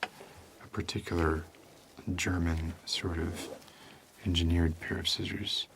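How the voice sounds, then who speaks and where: Man playing a deep, slow, creaky homicide detective. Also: background noise, full character voice. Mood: deadpan, musing. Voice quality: low, creaky. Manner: halting, rambling, deadpan. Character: laid-back, shady male homicide detective, darkly deadpan. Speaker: police detective in roleplay. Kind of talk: slow ASMR roleplay.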